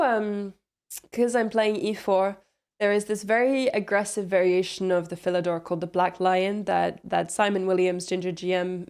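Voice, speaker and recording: A woman's voice: mid-range voice, a little mellow, clean tone. A woman commentating on a chess game, chatty and explaining moves with light laughs.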